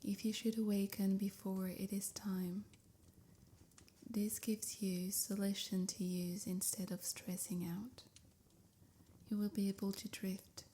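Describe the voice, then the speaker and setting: A woman softly guiding a sleep meditation in a breathy near-whisper, with soothing, measured phrasing. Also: mid-range voice, level tone, slight background noise. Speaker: meditation guide. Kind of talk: guided sleep meditation, scripted.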